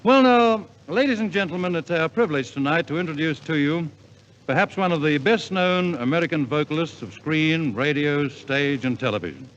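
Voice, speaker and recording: A man's voice: on the high side, lively intonation, moderate pace, a bit bright. A man speaking as a formal, showmanlike compere introducing a 1950s radio variety show. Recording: muffled, hissy 1950s radio recording.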